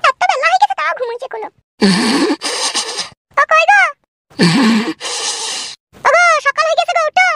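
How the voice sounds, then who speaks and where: A woman voicing a coaxing, complaining village housewife in a comedy cartoon, with a shrill whine. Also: animated, moderate pace, long pauses, very noisy.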